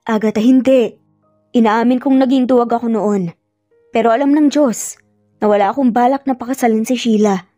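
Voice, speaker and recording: An earnest young boss pleads for love with remorse in a romance tale, acted out by a woman. The voice is soft-edged and mellow, with music playing underneath. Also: on the high side, fairly even intonation, moderate pace, long pauses, no room sound, lightly acted. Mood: pleading, apologetic. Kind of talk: dramatic romance storytelling.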